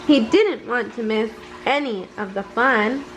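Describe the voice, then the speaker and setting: Very high-voiced woman reading a bedtime story. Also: a little slow, background noise. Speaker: storybook reader. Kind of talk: bedtime story read-aloud.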